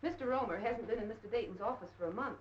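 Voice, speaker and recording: A woman's voice: fairly high pitch, very fast, close to an everyday voice. An actress playing a feisty, pert, easily indignant young woman in a 1930s film. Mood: sweetly polite. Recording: dull, faintly noisy 1930s film soundtrack.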